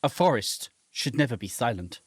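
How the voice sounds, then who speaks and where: A man's voice: medium pitch, lively intonation, normal speed, slightly rough, no echo, pitched below the performer's own voice. A man voicing an anxious, tormented submariner who narrates his own humiliation in a dramatic, agitated way. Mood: uneasy. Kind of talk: dramatic reading of a horror visual novel.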